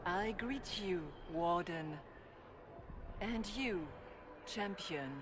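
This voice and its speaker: Game role: serene ghostly holy woman, solemn and measured, mid pitch, noticeable ambient noise. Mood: solemn greeting. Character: serene, grave spirit of a female high priestess.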